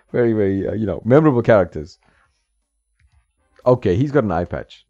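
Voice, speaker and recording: A man's voice: fairly low pitch, animated, moderate pace, creaky, mellow, no room sound. A man in his thirties giving casual, joking commentary while he plays a game at home, laughing often and talking to the screen. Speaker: gameplay streamer. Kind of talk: casual let's-play commentary. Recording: slightly dull, dry sound with game music.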